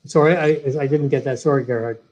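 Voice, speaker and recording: A man, a guest in an interview, explaining a software product in an animated way, walking through each step. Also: medium pitch, fast talker. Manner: animated, walks through steps one by one. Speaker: guest, sales training software executive.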